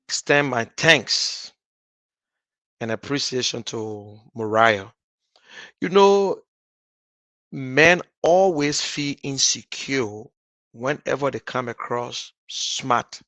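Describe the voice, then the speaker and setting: A Liberian man talking to his online viewers about politics, starting softly and growing loud and heated. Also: medium pitch, no room sound. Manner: starts slow and soft, grows loud and heated. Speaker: political commentator. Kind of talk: talking straight to camera.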